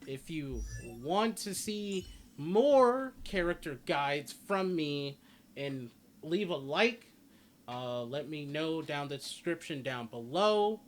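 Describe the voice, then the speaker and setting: A man's voice: fairly high pitch, lively intonation, slow, slight background noise. A man hosting a gaming video, giving casual gameplay commentary in an informal, rambling way and correcting himself as he goes. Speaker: gaming channel host.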